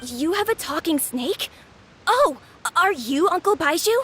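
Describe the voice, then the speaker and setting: An earnest young boy in a video game, polite and childlike. The voice sits high and youthful, over faint game music. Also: animated, unhurried, a bit bright, heavily acted. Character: earnest young boy searching for his dad.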